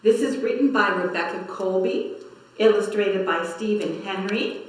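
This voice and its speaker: A woman reading a picture book aloud to young children in a measured, expressive storytelling manner. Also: medium pitch. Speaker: library story time reader. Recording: very echoey room, slightly distant mic.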